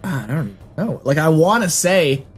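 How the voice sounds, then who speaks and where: High-voiced, husky man rambling eagerly. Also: sing-song, unhurried, soft background music. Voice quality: high-pitched voice. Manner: rambling and enthusiastic, heavy use of filler words; pitch fluctuates a lot as he talks. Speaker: interview guest. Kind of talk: casual conversation.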